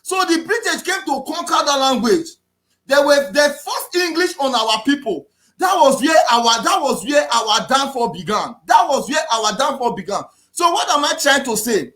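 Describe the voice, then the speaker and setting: A man with a Nigerian accent giving an impassioned political talk, repeating himself and often raising his voice to a shout. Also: very high voice, expressive, normal speed. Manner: preachy, repetitive, often shouting. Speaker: activist speaker.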